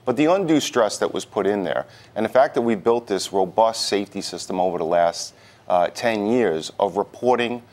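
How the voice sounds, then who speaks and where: A man with a low voice speaks as an interview guest on a news broadcast. He talks in a halting way, often repeating a word before moving on. He sounds like an organization's representative giving answers. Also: lively intonation, darkish tone. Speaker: union president, interview guest.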